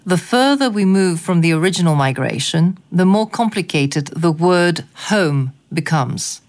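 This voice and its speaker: A woman interviewer speaking in a measured, articulate and reflective way, as if from a prepared script. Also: fairly low pitch, lively intonation, a little mellow. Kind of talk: scripted, formal interview narration.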